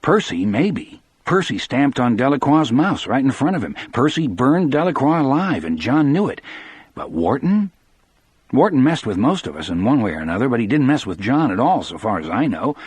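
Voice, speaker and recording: A man's voice: medium pitch, breathy edge, dry recording, pitched above the performer's own voice. A man voicing a troubled, earnest middle-aged prison guard in an audiobook. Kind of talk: audiobook character dialogue.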